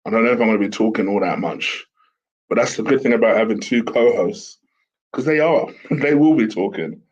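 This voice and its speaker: Host, male, croaky and husky from a sore throat, low-ish voice, chatty and rambling, dull lo-fi sound. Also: fairly quick. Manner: chatty, rambling with fillers and restarts. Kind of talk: casual solo commentary. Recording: dull lo-fi home recording with fan.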